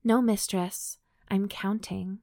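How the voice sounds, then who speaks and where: A woman's voice: medium pitch, fairly even intonation, slow pace, dark tone, no room sound. A meek, deferential woman with a hint of sass as a female narrator puts her on in an audiobook style. The sound is close and dry. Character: submissive adult woman, meek yet sassy.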